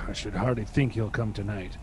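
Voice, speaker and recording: A man's voice: fairly low pitch, steady tone, a little fast, slight background noise, natural voice. An actor playing a querulous, blustery older father in a radio drama. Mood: grumbling. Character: grumbling, excitable older father at home.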